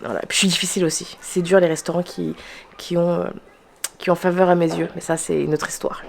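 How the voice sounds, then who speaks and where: A woman's voice: lowish voice, flat delivery, fast, creaky, a little hiss or hum. A woman in her thirties from France, chatting casually into her camera as a vlogger and chef, with lots of fillers and laughs. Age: 30s. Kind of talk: casual vlog chat.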